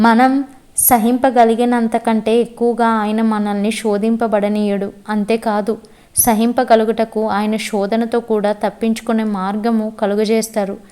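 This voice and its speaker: A woman's voice: on the high side, level tone, moderate pace, dark tone, close to an everyday voice. A woman playing an anxious, devout and stubbornly loyal young woman in a web series drama, with an earnest, pleading manner. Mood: devout, resolute.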